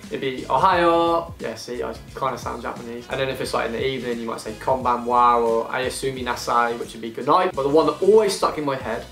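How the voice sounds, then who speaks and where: A man's voice: medium pitch, brisk, creaky, few pauses, a bit bright, echoey room, soft background music. A young man in his twenties from England, chatting casually in a self-deprecating, rambling way while eating through a vlog Q and A. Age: 20s. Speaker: YouTuber.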